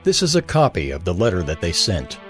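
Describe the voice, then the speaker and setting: A man narrating scripture in a solemn, expressive reading. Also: sits low, brisk, faint music behind.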